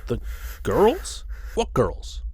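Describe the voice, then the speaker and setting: An actor playing a jittery, incredulous, scrappy fugitive who is blunt and excitable in a radio play. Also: male voice, medium pitch, very slow, slightly rough, no room sound, full character voice. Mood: incredulous, agitated.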